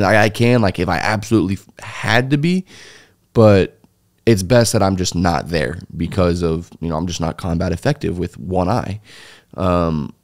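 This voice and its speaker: A man tells his story to a podcast host in a low, deep voice. He rambles loosely, full of fillers and restarts. The studio mic is close and the sound is dry. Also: no room sound. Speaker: interview guest, former foreign volunteer fighter. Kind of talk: casual interview storytelling. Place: small dark podcast studio. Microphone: studio mic on boom arm.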